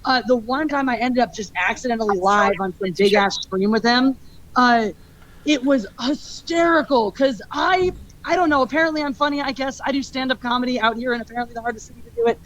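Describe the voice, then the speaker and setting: A woman streamer and stand-up comic ranting in a rambling, petty and animated way. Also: on the high side, a little fast. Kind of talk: clip of a livestream rant. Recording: muffled clip with faint noise.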